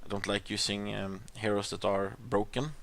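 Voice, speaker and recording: A man with a Swedish accent gives relaxed gameplay commentary in a somewhat low voice. He thinks out loud, stalling on ums and uhs and restarting his sentences. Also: normal speed, vocal fry. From Sweden. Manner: rambling, hesitant, many fillers and restarts. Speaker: gaming channel host.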